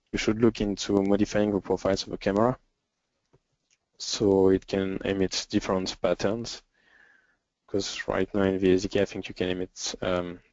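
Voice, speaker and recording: A low-pitched man with a French accent takes questions in an informal webinar. His delivery is halting, with frequent pauses. The audio is dry and dull, like a lo-fi online session. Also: dry recording. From France. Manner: hesitant, halting, with frequent pauses and throat clearing. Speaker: software developer and webinar presenter.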